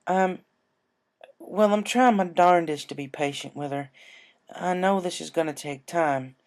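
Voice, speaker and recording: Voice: medium pitch, measured pace, pitched above the performer's own voice, natural voice. A performer voicing an adult female farm pony, stern but caring, with folksy country phrasing, in a cartoon fan story. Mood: concerned.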